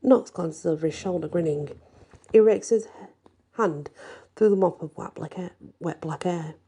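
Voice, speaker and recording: Husky, creaky low-voiced woman reading a story. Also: normal speed, mellow. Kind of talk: scripted narration, ASMR story reading.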